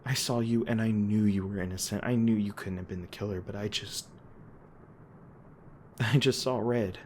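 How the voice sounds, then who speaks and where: A man playing a gruff, guilt-ridden monster hunter with a tender side, speaking haltingly and emotionally in an intimate audio roleplay. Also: on the low side, steady tone, a little mellow, natural voice. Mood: remorseful. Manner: halting, stammering, emotional, swearing. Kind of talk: intimate dramatic audio roleplay addressed to listener. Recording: noticeable background noise and ambience.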